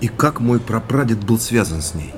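A man playing an earnest young researcher in an audio drama, with a very low voice. He sounds thoughtful and slightly hesitant, turning things over as he talks. Music plays clearly behind him. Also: animated, normal speed, lightly acted. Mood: hopeful, grateful.

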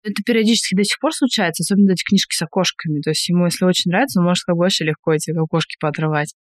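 A woman's voice: on the low side, a little mellow, no echo, studio quality. A woman co-hosting a podcast, chatting casually and explaining things in a talkative way. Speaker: podcast co-host and parent. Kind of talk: casual conversational podcast talk.

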